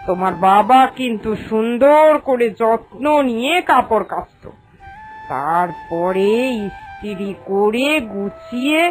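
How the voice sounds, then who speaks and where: Woman playing haughty, high-pitched household mistress. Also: rough recording. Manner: haughty, questioning, authoritative. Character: proud, bossy mistress of a wealthy village household. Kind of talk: Bengali cartoon voice acting.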